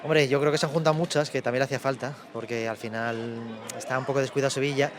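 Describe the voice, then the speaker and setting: A man's voice: mid-range voice, steady tone, fast talker. A man answering a street interview, casual and rambling. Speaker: passer-by. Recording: street with crowd noise.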